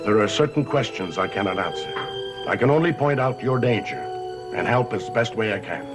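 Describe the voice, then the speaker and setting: An older man acting a cryptic, haunted grandfather in a gothic television soap, speaking in a theatrical, measured, portentous way. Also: lowish voice, lively intonation, loud music behind the voice, lightly acted. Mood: grave, brooding.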